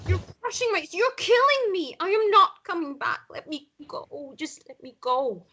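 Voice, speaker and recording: A woman's voice: very high-pitched, big pitch swings, moderate pace, bright, forceful tone, slight background noise, full character voice. An actress playing a hurt, defiant woman with an intense, emotional manner in a drama table read. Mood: distraught. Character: hurt, defiant mother standing up to her ex.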